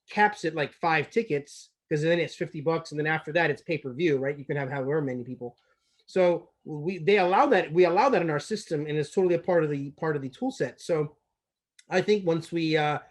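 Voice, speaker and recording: Enthusiastic man from Turkey. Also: a little high. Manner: enthusiastic, repeats words while thinking. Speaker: company CEO. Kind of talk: casual business discussion.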